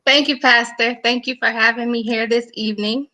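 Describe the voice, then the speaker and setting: A young woman from New York, a guest on a church livestream, telling her own story. She speaks earnestly and conversationally, with plenty of fillers. Her voice is bright and a little high. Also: fairly even intonation, a little fast, low-quality recording. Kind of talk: personal testimony talk.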